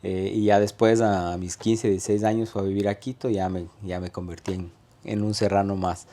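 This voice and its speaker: A man with an Ecuadorian accent in his thirties, a guest telling his life story in an interview, pausing often on fillers and restarting phrases. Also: low-pitched, level tone, dark tone. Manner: hesitant, many "eh" fillers and restarts. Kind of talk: conversational interview.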